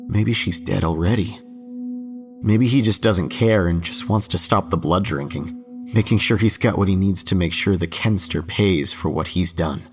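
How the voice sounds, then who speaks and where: A man's voice: deep voice, normal speed. An actor playing a broke, cynical young man who confides his troubles in a wry, conversational horror audio drama narration. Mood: uneasy, wry. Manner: wry, conversational, coarse-mouthed. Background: droning music.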